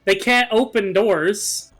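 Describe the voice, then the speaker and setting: High-pitched man chatting over game music. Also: takes it slowly, fairly bright tone. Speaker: gaming video co-commentator. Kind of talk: casual banter over a game session.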